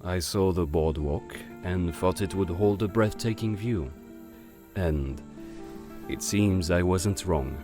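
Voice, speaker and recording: A man playing a suave, silver-tongued gentleman con artist with a flaming head in an audio drama, courtly and sprinkling in French phrases. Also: low voice, takes it slowly, over music. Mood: courteous, charming.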